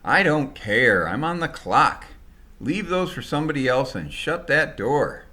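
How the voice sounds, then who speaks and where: A man's voice: medium pitch, big pitch swings, unhurried, pitched above the performer's own voice. A man voicing a brash, impatient young woman who works as a delivery driver in an audio story. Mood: impatient, annoyed. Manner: snappy, shouting over others, flustered with superiors.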